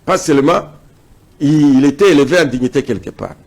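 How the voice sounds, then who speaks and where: A man's voice: medium pitch, animated, brisk, gravelly, darkish tone. A man from South Kivu with a Congolese French accent, speaking as a guest in a political interview, emphatic and repeating phrases to press his points. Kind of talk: political interview, opinionated argument.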